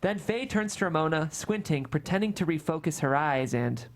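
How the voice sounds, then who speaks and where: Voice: mid-range voice, expressive, normal speed. A narrator reading scripted screenplay stage directions aloud at a table read.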